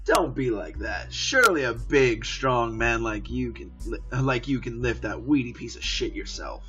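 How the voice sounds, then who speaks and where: A man's voice: medium pitch, animated, normal speed, pushed and bright, lo-fi sound, soft background music. A man voicing a shady male coworker, a cocky and teasing small-time criminal. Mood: goading.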